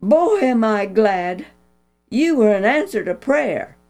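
Lady doing a scared little boy, high and pleading, polite, soft music behind. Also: big pitch swings, slow. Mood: frightened, earnest. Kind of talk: storybook reading.

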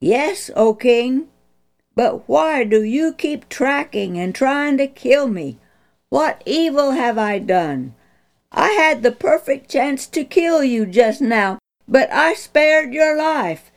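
A woman's voice: on the low side, expressive, slow, darkish tone. A woman voicing an earnest young male hero, calling out and pleading in a storybook reading.

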